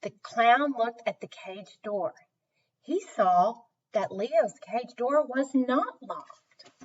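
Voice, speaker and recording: A woman tells a children's story at an unhurried pace, her fairly high voice swooping expressively. The recording is dull and a little distant from the microphone. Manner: slow, animated, deliberate emphasis.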